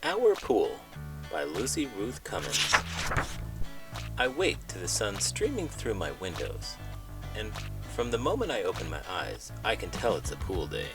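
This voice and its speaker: Animated low-voiced man, children's storyteller. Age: middle-aged. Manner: dramatic, playful emphasis. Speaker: children's read-aloud host. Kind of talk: expressive storybook reading and scripted puppet banter.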